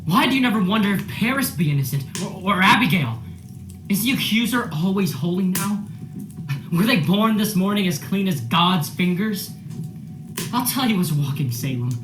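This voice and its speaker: A blunt, forceful Puritan husband played by a man in a radio play. He is defiant and quick to anger. The room echoes around him and music plays underneath. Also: sits high, expressive. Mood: furious defiance.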